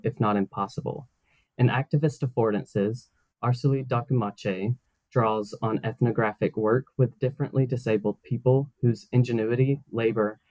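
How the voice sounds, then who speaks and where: A man's voice: mid-range voice, a little mellow, no echo, low-quality recording. A man hosting a book interview podcast, reading a formal scripted introduction in a polite manner. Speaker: podcast host.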